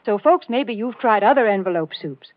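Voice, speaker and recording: A woman's voice: mid-range voice, expressive, moderate pace, no echo. An American woman delivering a 1940s radio commercial in a chatty, folksy, cheery manner. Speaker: sponsor's announcer on a radio show. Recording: tinny, dry 1940s radio recording.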